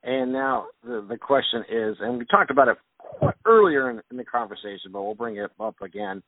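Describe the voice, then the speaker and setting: A man hosting a sports podcast, talking in a relaxed, rambling way full of fillers. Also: mid-range voice, a little fast, creaky, no echo. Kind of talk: casual sports interview. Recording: tinny phone-like sound.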